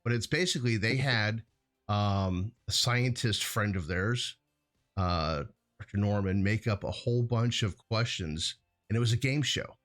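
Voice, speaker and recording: A man's voice: fairly low pitch, a little slow, a bit bright. A man co-hosting a show in a casual, rambling chat, often correcting himself as he goes. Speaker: co-host of a hazardous materials show.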